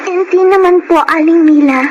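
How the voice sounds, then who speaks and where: Very high-voiced young Filipino orphan girl. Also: fairly even intonation, normal speed, highs cut off. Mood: humble, resolute. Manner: earnest, polite, emotional. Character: orphaned young girl craft vendor, humble, tearful, hopeful. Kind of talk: Filipino radio drama acting. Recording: noisy radio drama recording.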